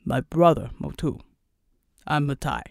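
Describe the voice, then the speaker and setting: A woman's voice: low voice, a little slow, creaky, a little mellow, dry recording, studio quality, voice pushed down. A woman voicing a huge, genial man from Samoa, easygoing and jovial, like a big brother.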